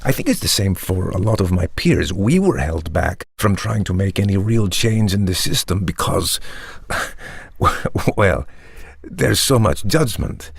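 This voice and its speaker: Polished vampire startup founder in audio drama: low-leaning gravelly voice, measured and articulate, forever rationalizing. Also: male voice, big pitch swings, no room sound, clean studio sound, heavily acted. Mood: smug, reflective. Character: polished, self-justifying vampire tech entrepreneur. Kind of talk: theatrical monologue in the manner of a startup pitch.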